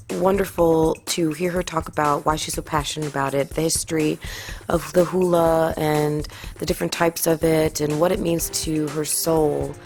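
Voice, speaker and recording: A woman hosting a podcast, chatty and bubbly, laughing often and filling pauses with ums. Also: sits low, a little mellow. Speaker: podcast host. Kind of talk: casual podcast chat and show intro.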